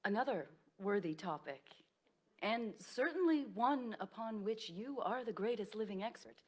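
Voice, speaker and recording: A woman's voice: medium pitch, animated, normal speed, a little mellow, natural voice. An actress playing a loyal, witty, self-assured woman friend in a staged reading of a period drama, speaking formally and teasingly. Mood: reassuring.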